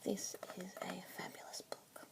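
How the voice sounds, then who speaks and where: A young woman with an Australian accent whispering softly and gently as a pet adoption consultant in an ASMR roleplay. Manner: soft whispering, gentle and unhurried. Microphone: close sensitive ASMR mic. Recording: noisy hiss behind close whisper.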